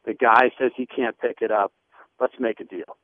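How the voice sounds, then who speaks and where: Wine guy calling in, sounds like a phone line, rambly and relaxed, lots of um and you know. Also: medium pitch, normal speed. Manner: loose and chatty with many fillers. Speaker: wine business guest. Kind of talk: radio interview answers.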